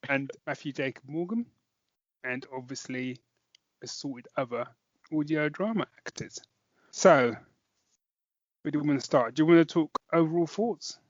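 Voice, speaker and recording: A man's voice: a little high, normal speed, pauses often, dry recording. Dull-sounding, dry British man chatting casually. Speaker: podcast co-host and reviewer. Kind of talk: casual chat podcast review.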